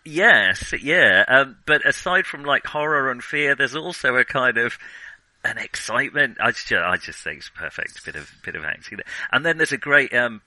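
Male podcast co-host, casual and rambling, very bright tone, close and dry. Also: medium pitch, lively intonation, moderate pace, no room sound, rough recording. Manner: rambling, many restarts and ums. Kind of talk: casual film discussion.

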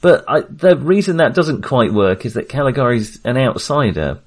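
A man's voice: mid-range voice, big pitch swings, moderate pace. A man in a casual film discussion, hesitantly searching for his words and often rephrasing as he goes. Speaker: film podcast host.

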